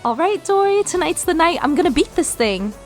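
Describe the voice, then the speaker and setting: A woman's voice: very high voice, big pitch swings, a little fast, darkish tone, soft background music. An actress playing a bold, eager teenage girl, slangy and persuasive in audio drama dialogue. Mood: eager, coaxing.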